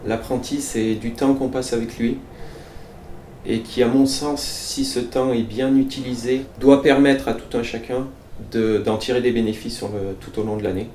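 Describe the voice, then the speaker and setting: Man, manager, earnest and halting, distant mic, noisy background. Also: mid-range voice, fairly even intonation, dark tone, reverberant. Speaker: manager, apprentice tutor. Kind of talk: corporate video testimonial.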